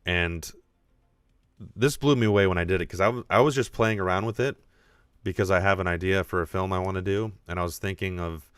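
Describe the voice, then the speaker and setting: Deep-voiced male podcast host, excitable, restarts often, low pitch, dry close sound. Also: fairly quick, smooth, dry recording. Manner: excitable, thinks aloud with frequent restarts. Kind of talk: casual video podcast commentary.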